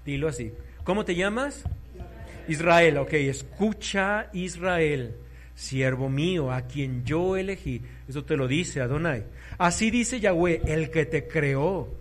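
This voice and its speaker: A man preaching a sermon, fervent, swinging between soft and loud, urging listeners to repeat after him. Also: on the high side.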